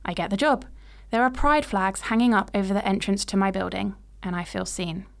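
Clear-voiced woman reading her own story. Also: medium pitch, steady tone. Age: 20s. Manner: measured, reflective storytelling in present tense. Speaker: podcast host. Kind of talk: reading a personal story aloud.

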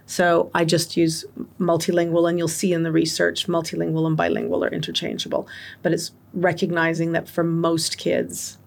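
A woman's voice: lowish voice, steady tone, normal speed, creaky. A woman guest giving long, articulate interview answers on education, explaining her points with examples. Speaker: guest expert on multilingual education.

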